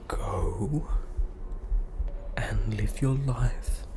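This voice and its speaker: A woman's voice: extremely low pitch, heavily acted. A woman with an Australian accent playing a fussy, polite ghost in a whispered ASMR roleplay, with quaint, hesitant wording. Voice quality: breathy whisper. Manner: quaint old-fashioned wording, hesitant, apologetic. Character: fussy, polite female ghost haunting a hospital bed. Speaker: ASMR performer.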